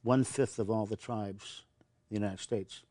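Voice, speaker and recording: Low-voiced older man, earnest and halting. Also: steady tone, warm tone, no echo. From Montana. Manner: earnest, repeats words when starting sentences. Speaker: veterans service officer. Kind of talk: interview answers.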